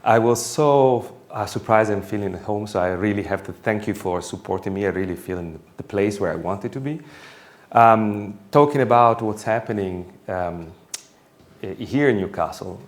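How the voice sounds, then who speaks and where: Italian-accented man giving a halting lecture. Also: on the low side. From Italy. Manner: halting, frequent ums and restarts. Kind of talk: public lecture. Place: lecture hall stage. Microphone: wireless mic, standing.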